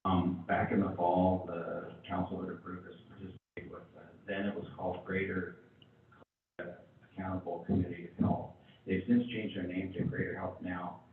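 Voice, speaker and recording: A man briefing a city council in a halting way, with many fillers and restarts. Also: low voice, completely flat delivery, slight background noise. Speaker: city chief presenting to council. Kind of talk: council meeting report. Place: council chamber. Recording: tinny, echoey chamber from far off.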